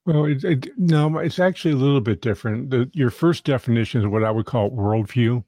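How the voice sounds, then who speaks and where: A man's voice: mid-range voice, brisk, dark tone, no room sound. A man hosting a podcast interview, talking in a friendly, rambling way and often restarting his sentences. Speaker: podcast host.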